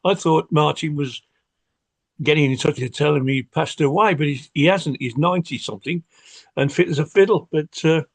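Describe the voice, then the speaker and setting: An older man talks casually as a podcast panellist. His voice is fairly high and full of creak, and he speaks haltingly, repeating words, in a dry recording. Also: no echo. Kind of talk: casual chat.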